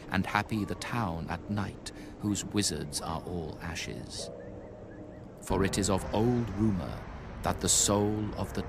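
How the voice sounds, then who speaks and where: Mad old male sorcerer-scholar for horror storybook played by a man: very low, creaky, slow portentous chanting over music. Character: mad ancient occult scholar reciting forbidden lore. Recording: music under the voice.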